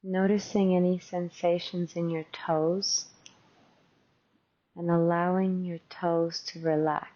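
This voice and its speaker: A woman leads a guided meditation at a very slow pace, in a fairly low voice. Her delivery is gentle and conversational, like a teacher softly instructing. The recording sounds slightly dull and lo-fi. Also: little pitch movement.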